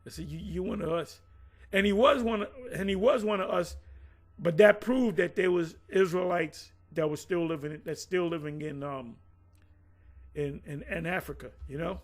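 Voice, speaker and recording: Brief: a man with a high voice, blunt and profane, rambling through old grievances in casual talk. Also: moderate pace. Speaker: former group member recounting events. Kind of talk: casual conversation.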